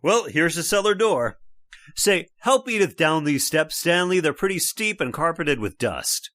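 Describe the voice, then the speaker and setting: A man's voice: fairly high pitch, sing-song, a little slow, no room sound, clean studio sound. An actor playing a stubborn, scoffing middle-aged father with a brash manner, in old-time radio drama style. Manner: brash, scoffing, loud laughs.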